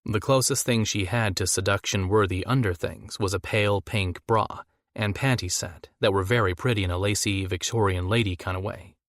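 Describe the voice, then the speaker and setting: A man's voice: on the low side, normal speed, few pauses, a bit bright, dry recording, clean studio sound. A man reading romance fiction as a scripted audiobook narration.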